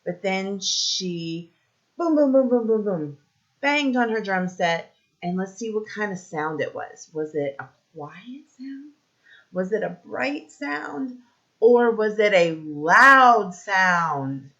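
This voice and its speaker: A woman teacher leading a read-aloud lesson for young children, asking simple questions, pausing for answers and encouraging them. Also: mid-range voice, expressive, a bit bright, muffled recording.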